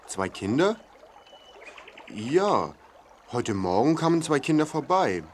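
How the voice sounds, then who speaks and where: A man's voice: medium pitch, big pitch swings, slow pace, a little hiss or hum. A man playing a serious local in a fairy-tale land for a children's audio play. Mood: serious, hesitant.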